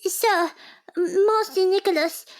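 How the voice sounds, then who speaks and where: Very high-pitched, hesitant workhouse boy. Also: slow, full character voice. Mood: nervous, pleading. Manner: hesitant, stammering. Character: frightened workhouse boy, a young female agent in disguise. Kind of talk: radio drama acting.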